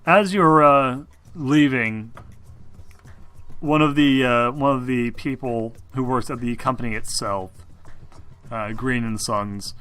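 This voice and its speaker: A man narrating a tabletop roleplaying game as game master, explaining things in a halting way. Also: mid-range voice, pushed and bright, smooth, soft background music.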